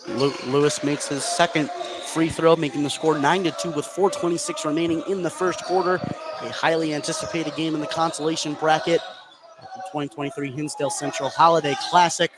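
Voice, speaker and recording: A man's voice: on the high side, fairly quick, poor audio. A man doing live play-by-play basketball commentary for radio, lifting his voice as the action builds. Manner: rises in excitement on shots and steals.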